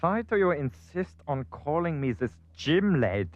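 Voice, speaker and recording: An actor playing a pompous, scheming cartoon villain with a precise, haughty manner. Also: male voice, fairly high pitch, sing-song, measured pace, lo-fi sound. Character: pompous scheming villain with a cold, logical mind.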